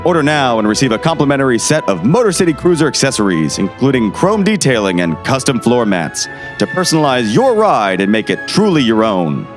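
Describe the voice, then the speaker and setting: A man's voice: mid-range voice, big pitch swings, fairly quick, hardly any pauses, over music, close to an everyday voice. A man playing an upbeat, slick car salesman in a 1960s-style radio commercial. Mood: peppy, salesy.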